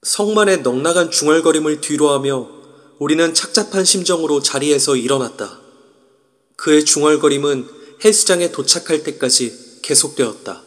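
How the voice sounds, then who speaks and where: A man's voice: fairly high pitch, moderate pace, big-room sound, close to an everyday voice. An actor narrating in the first person as a tense young male player in an audio drama. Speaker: narrator and lead character.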